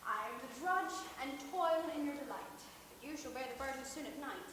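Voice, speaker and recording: Woman acting a high-voiced, groaning old nurse in a hall. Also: lively intonation, moderate pace. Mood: brisk, knowing. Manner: groaning, rambling, exclamatory. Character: weary, teasing, garrulous old female nurse servant. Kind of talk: Shakespearean stage acting. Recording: echoey hall, distant mic, faint noise.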